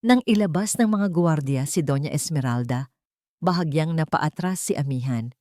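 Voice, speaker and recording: A woman's voice: low voice, expressive, normal speed, warm tone. A woman reading dramatic scripted narration as an audiobook narrator.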